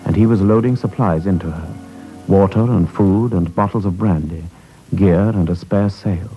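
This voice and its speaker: An actor narrating a wartime tale as a grave storyteller in a 1950s radio play. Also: male voice, sits low, moderate pace, soft background music, natural voice. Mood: grave, lyrical. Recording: dull vintage radio recording.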